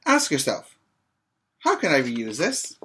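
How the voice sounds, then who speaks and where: A man's voice: mid-range voice, wildly swooping pitch, slow pace, sharp tone, a little hiss or hum. A middle-aged man, a preschool teacher, reading a children's book aloud and explaining it to young children in an instructive way with check-in questions.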